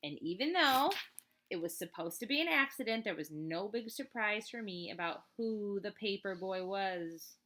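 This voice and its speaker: Bright-voiced woman reading a children's book. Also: medium pitch, normal speed. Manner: expressive, chatty, emphatic on list items. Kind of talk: read-aloud storytelling with casual asides.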